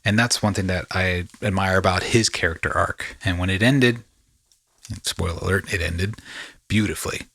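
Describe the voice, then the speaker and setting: A man's voice: low-pitched, moderate pace, creaky, sharp tone, slightly rough. A man hosting a podcast, talking in a casual, rambling storytelling way with slangy asides. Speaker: podcast host.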